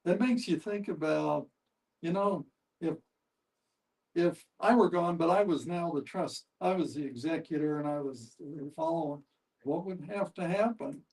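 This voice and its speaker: An older man with a fairly high voice speaks some way from the microphone. He gives a personal account in a group discussion, halting and deliberate. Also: darkish tone. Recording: distant microphone.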